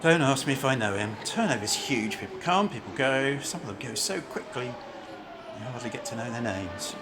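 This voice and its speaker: A man's voice: medium pitch, animated, moderate pace, very noisy, natural voice. A man playing a brusque, chatty city banker in an amateur radio play, grumbling and asking blunt questions. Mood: defensive, cynical.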